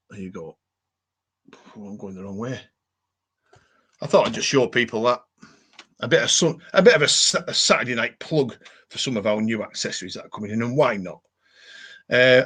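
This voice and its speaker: A man's voice: mid-range voice, fairly bright tone, husky. A man with a Cumbrian accent casually hosting a live show, chatting and answering viewer comments in a rambling way. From Cumbria, England. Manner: rambling, chatty, repeats catchphrases. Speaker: livestream host.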